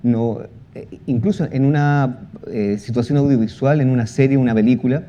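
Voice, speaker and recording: A man explaining his craft as a panel guest, halting and repeating words as he goes. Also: medium pitch, mellow. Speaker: panel guest, audio fiction creator. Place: live conference panel. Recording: muffled event sound with faint noise.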